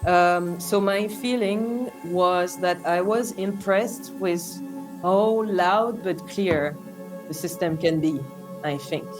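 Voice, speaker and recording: A young woman with a French accent speaks in a thoughtful, hesitant way, her low-pitched voice often pausing to restart or search for a word. Clear music plays underneath her. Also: low-quality recording. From France. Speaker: interview guest, sound artist. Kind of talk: reflective conversational interview.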